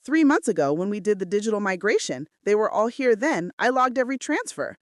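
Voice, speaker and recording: A defiant and loyal female assistant, acted by a woman narrator in a romance audiobook. She is outspoken and stresses her words hard. Her mid-range pitch is very lively, in a dry recording. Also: brisk, no echo, close to an everyday voice. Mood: alarmed. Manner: emphatic, outspoken. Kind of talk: dramatic romance audiobook dialogue.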